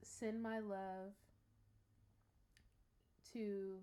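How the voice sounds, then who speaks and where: A woman's voice: mid-range voice, flat delivery, very slow, warm tone. A woman hosting a reaction series, talking casually and personally to her viewers.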